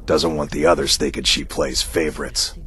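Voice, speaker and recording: Surly, very deep-voiced assassin. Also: male voice, fairly even intonation, moderate pace, sharp tone. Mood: surly, scornful. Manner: blunt, scornful. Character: gruff, surly male werewolf assassin, husband.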